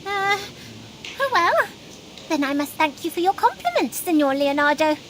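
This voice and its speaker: An actress playing an excitable, haughty but easily flustered young noble girl in a visual novel game. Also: very high voice, normal speed, slight background noise, exaggerated voice. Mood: bashful. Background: music.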